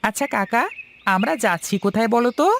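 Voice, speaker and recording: A man's voice: very high voice, fast talker, vocal fry, a bit bright, a little hiss or hum, exaggerated voice. A man voicing an eager, willing young village son in a cartoon fairy tale. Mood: puzzled.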